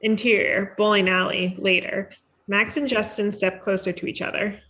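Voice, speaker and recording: A woman narrates scene headings and stage directions from a script. Her voice is bright and crisp, mid-pitched for a woman. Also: little pitch movement, moderate pace.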